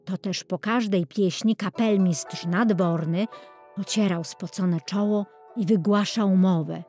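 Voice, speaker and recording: Unhurried woman narrating a children's tale. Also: mid-range voice, fairly even intonation, a little mellow, soft background music. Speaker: audiobook narrator. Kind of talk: scripted children's storybook narration.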